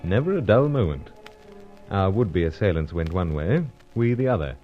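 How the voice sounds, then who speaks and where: An actor playing a suave, unflappable British secret agent in a radio adventure serial, speaking in terse, understated, clipped phrases. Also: male voice, low-pitched, sing-song, moderate pace, highs cut off, soft background music. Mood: wry, suspenseful. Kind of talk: old-time radio adventure serial narration and dialogue.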